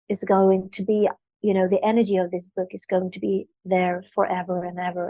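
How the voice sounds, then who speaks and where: A woman's voice: mid-range voice, dark tone, dry recording, highs cut off. A middle-aged woman whose first language is Swedish, from Sweden, speaking earnestly in English about spiritual growth in a podcast interview. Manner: earnest, flowing spiritual talk. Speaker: author and spiritual coach.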